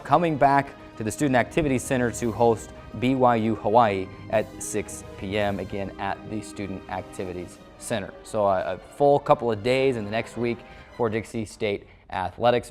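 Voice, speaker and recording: A man with an American accent presenting a sports show in a scripted announcer style, as its host. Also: fairly low pitch. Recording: music playing under the voice. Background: background music.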